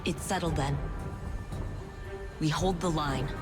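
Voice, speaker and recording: An actress playing a firm, principled military leader in a video game, deliberate and resolute. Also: female voice, low-pitched, over loud music. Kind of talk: dramatic video game acting.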